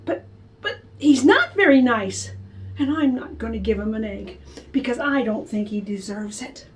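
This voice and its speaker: A woman's voice: on the high side, lively intonation, moderate pace, lightly acted. A woman voicing an upset little girl who gets whiny and insistent. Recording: distant from the mic.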